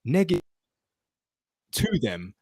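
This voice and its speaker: A man's voice: mid-range voice, big pitch swings, brisk, darkish tone, slightly rough, no echo. A British man hosting a podcast, talking in an emphatic, slangy, opinionated way with some swearing. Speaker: podcast host; military veteran. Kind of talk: opinionated casual podcast chat.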